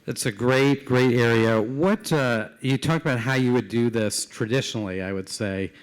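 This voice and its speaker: A man's voice: mid-range voice, normal speed, smooth, low-quality recording. A man hosting a live interview in a conversational, affirming way, prompting his guest with questions. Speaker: interviewer, company founder. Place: live event before an audience.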